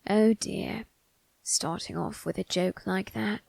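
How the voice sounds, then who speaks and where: A woman voicing an ancient male undertaker in an ASMR roleplay, sly and teasing, with a breathy, husky, creaking voice and formal phrasing. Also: medium pitch, fairly even intonation, a little mellow, dry recording, full character voice. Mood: dry, suspicious. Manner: slow, formal, teasing delivery with drawn-out tag questions.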